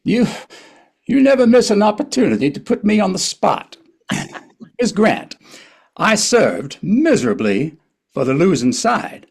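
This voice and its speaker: A man playing a wry older writer, husky and expressive. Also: high voice, unhurried, long pauses, full character voice. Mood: wry, flustered. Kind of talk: table reading of a historical play.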